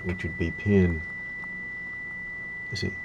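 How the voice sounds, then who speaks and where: A man's voice: low-pitched, fairly even intonation, highs cut off, background noise. A man thinking aloud in a casual, hesitant way as he narrates hands-on repair work at an indoor workbench. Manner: hesitant, halting, musing to himself. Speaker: hobbyist radio repairer narrating his work.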